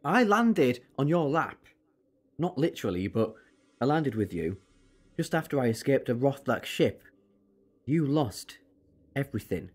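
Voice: mid-range voice, very animated, unhurried, pauses often, husky. An actor playing an eccentric alien time traveller in an audio drama, wry and quibbling but earnest and persuasive. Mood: exasperated, insistent. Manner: wry, quibbling, turning solemn.

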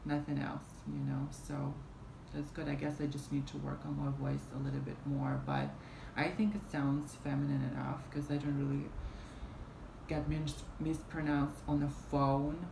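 A woman's voice: sits low, moderate pace, creaky. A young woman telling a story casually on camera at home, rambling with many fillers and restarts, a fan running beside her. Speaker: vlogger. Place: small room at home, indoors, fan running. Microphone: camera in front at arm's length. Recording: roomy with fan noise behind. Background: fan hum.

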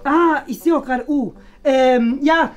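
A man's voice: very high-pitched, a little slow. A man playing a chatty, rambling father who dotes on his son and boasts about him in an improvised comedy sketch. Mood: patronising. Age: young adult. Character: chatty, boastful, doting father visiting his son's classroom. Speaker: performer in a school comedy sketch. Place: small bright classroom indoors.

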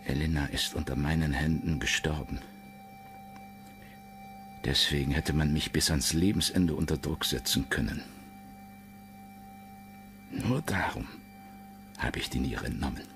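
Very deep, gravelly, guilt-laden man. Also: a little slow, long pauses, music in the background. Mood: grave, confessing. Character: guilt-laden, cornered male doctor confessing. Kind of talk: crime radio play acting.

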